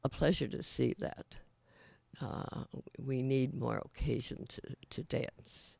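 An older man hosting his own show, talking casually in a halting way with many fillers, his voice raspy today. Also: mid-range voice, a little mellow, dry recording. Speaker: show host. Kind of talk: casual rambling monologue.

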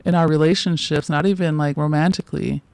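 A woman's voice: low-pitched, fairly even intonation, fast talker, creaky, darkish tone, no room sound. A woman in her forties, a guest in a casual interview, talking in loose, rambling sentences full of fillers and restarts and often laughing between thoughts.

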